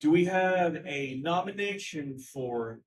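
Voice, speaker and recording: A man's voice: on the high side, animated, takes it slowly, crowd noise. Man chairing a meeting, far from mic. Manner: loose, conversational, with restarts. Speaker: party chair running a convention. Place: meeting room at a convention.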